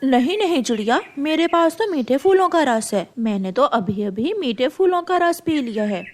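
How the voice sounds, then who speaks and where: A woman's voice: sits high, expressive, moderate pace. A woman voicing a stingy, self-satisfied female bulbul in a children's cartoon, with a dismissive manner. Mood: dismissive, smug.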